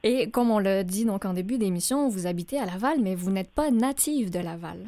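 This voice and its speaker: Gentle-voiced woman hosting. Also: mid-range voice, animated, brisk, no echo. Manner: gentle, hesitant with many fillers. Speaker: radio show host. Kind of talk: interview show host.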